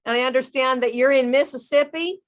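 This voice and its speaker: An enthusiastic woman hosts a radio talk show with a fairly high voice. She reads a guest introduction and then moves into an upbeat interview. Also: fairly even intonation, brisk.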